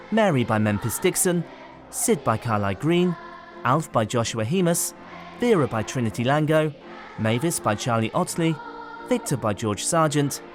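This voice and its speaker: A man with a mid-pitched voice announces radio drama credits over faint music. His delivery is formal and measured, moving steadily through a list.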